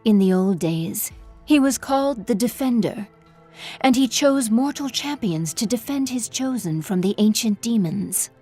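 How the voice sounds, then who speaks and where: A woman playing a solemn, haunted priestess and sculptor in a video game, speaking with measured reverence in a husky voice. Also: a little high, animated, warm tone, faint music behind. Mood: solemn, reverent. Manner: slow, measured, reverent speech. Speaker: religious character in a video game. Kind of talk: video game character dialogue.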